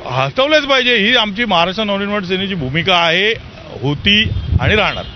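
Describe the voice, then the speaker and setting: A man's voice: high voice, expressive, brisk. A man with a Marathi accent speaking emphatically and repetitively as a party politician to the press. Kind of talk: press soundbite. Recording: dull location sound, faint noise.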